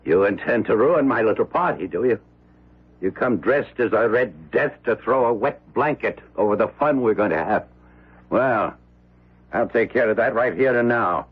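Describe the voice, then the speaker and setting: A man's voice: mid-range voice, gravelly, slightly rough, full character voice. An actor playing a wealthy, blustering old miser and father in a radio drama. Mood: blustering, angry. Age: middle-aged. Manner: emphatic, blustering. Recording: dull radio drama recording with music.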